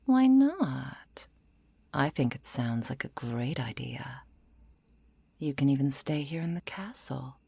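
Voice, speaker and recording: A woman playing a seductive, menacing undead vampire in an intimate ASMR roleplay, coaxing and softly threatening, often slipping into whispers. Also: animated, slow, dry recording, heavily acted. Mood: sultry, predatory. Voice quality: low, breathy, creaky.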